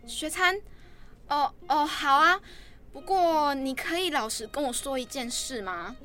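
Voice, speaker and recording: A woman's voice: sits high, unhurried, bright, forceful tone. A woman playing an insecure, defensive college freshman in a radio drama, with music behind her. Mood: anxious.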